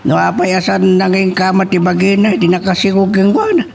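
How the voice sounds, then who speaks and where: A man playing a grave, worried older father in an Ilocano audio drama, with a creaky voice and an Ilocano accent. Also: sits high, normal speed, a little mellow. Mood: worried, resigned. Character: grave, worried old father advising his daughter. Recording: clear background hiss and noise.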